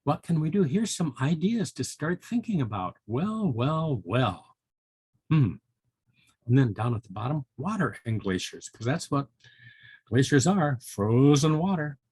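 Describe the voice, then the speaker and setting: A man's voice: mid-range voice, big pitch swings, a little slow, darkish tone, slightly rough, no room sound. An older man reading and chatting to young children in a folksy, musing way. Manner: folksy, musing, with interjections like hmm and well. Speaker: volunteer storybook reader for children.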